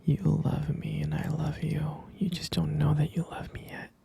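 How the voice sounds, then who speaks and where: A man playing a tender but delusional, possessive captor in an intimate ASMR roleplay, coaxing in a breathy, gravelly whisper close to the microphone. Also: a little high, completely flat delivery, noisy background. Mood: soothing, possessive. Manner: coaxing, slow, cooing, insistent.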